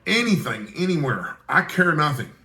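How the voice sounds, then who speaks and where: Man playing an eager young reporter, bright voice. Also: a little high, very animated, normal speed, roomy sound, exaggerated voice. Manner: deferential, earnest. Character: eager young male reporter, earnest and daring.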